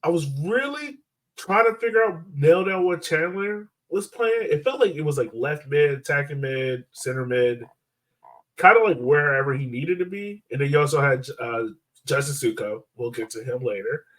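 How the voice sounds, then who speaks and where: A man's voice: fairly high pitch, lively intonation, normal speed, a bit bright, rough recording. A man hosting a sports podcast in casual discussion, thinking aloud in an informal, conversational way.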